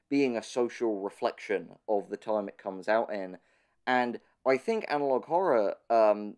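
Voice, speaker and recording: A man's voice: lowish voice, a little mellow. A man in a conversational analytical discussion, speaking thoughtfully with hesitant, stammering starts as a podcast host.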